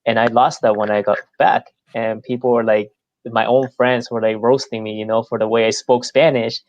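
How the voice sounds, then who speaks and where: A man's voice: mid-range voice, level tone, normal speed, darkish tone, no echo, lo-fi sound. A man from Peru chatting on a podcast, telling stories in a laid-back way with lots of fillers. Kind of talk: casual podcast conversation.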